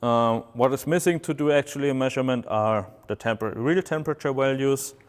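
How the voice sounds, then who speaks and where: A man whose first language is German gives a halting product walkthrough, pausing often on filler sounds. His voice is smooth and resonant. It carries a long hall reverb. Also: medium pitch. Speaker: product presenter. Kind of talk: product unboxing demonstration.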